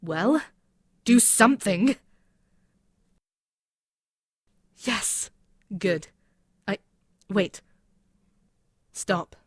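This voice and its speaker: A woman voicing a proud, mocking demon queen in an intimate ASMR roleplay, speaking in a haughty, deliberate, musing way. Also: mid-range voice, dry recording, studio quality. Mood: commanding, triumphant. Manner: haughty, deliberate, musing, with pauses and murmured asides. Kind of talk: scripted ASMR audio roleplay, spoken intimately to the listener.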